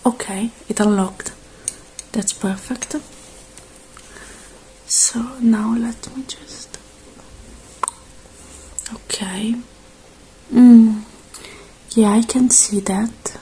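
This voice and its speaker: A woman doing a soft-spoken ASMR roleplay, slipping in and out of whispers, with a reassuring, halting delivery in short phrases. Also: fairly high pitch, steady tone, slight background noise, low-quality recording.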